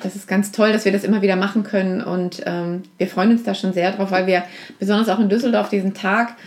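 A woman's voice: medium pitch, fairly even intonation, fast talker. A woman being interviewed on a podcast about her outreach work, speaking earnestly with many fillers and restarts. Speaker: guest from a support organization.

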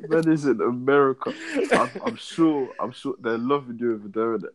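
A young man, chatting in a relaxed, slangy way on a podcast. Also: mid-range voice, brisk. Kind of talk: casual chat.

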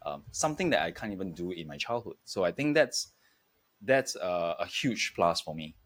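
A man speaking as an interview guest, reflecting in a halting way with pauses between short phrases. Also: sits low, big pitch swings, a bit bright. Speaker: podcast guest, entrepreneur.

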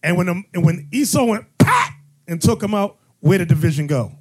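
A man's voice: sits high, very animated, brisk, breathy edge. A man teaching a congregation as bishop, preaching with call-and-response questions and sudden emphatic bursts. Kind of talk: sermon-style teaching.

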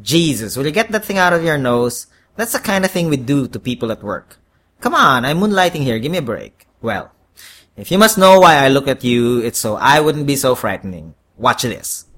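A man's voice: medium pitch, sing-song, normal speed, fairly bright tone. A man voicing an impatient, weary devil who talks like a streetwise, wisecracking salesman in an audio story. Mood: impatient, pitching. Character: brash, impatient horned devil, a weary wheeling-dealing salesman.

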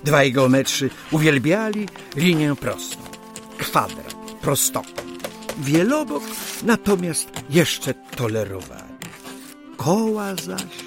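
A man narrating a scripted radio play as a storyteller, with measured, deliberate phrasing and pauses. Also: mid-range voice, gravelly. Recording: music under the narration. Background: music.

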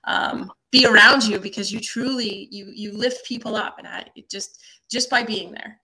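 A woman hosting a podcast, speaking in a gushing, effusive way with halting restarts. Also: mid-range voice, steady tone, crowd noise, rough recording. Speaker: podcast host. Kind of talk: casual interview chat.

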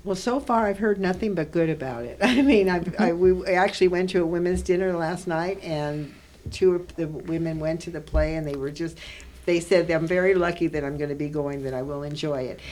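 A woman's voice: fairly low pitch, fairly quick, darkish tone. A woman hosting a conversational radio interview in a studio, effusive and repeating words for emphasis.

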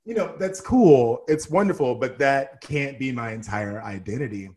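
Animated, playful man in his thirties. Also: medium pitch, normal speed. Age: 30s. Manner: animated, playful storyteller with sassy asides. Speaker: podcast guest. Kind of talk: casual interview chat.

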